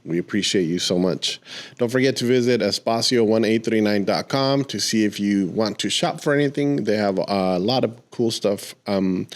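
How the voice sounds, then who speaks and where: A man hosts a podcast. He talks casually and informally, addressing people like friends. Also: on the low side. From born in Mexico, moved to the US at age four. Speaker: podcast host. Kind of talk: casual podcast interview chat.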